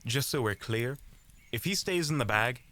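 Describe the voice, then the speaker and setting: A man acts out a practical adventuring companion in a mid-pitched voice. His delivery is lively yet wry and matter-of-fact, in an audio drama with music behind him. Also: normal speed, close to an everyday voice. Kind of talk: audio roleplay voice acting.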